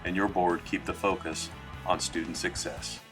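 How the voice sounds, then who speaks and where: A man reads scripted instructional narration as a training presenter, in a measured, formal manner. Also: low-pitched, flat delivery, over loud music.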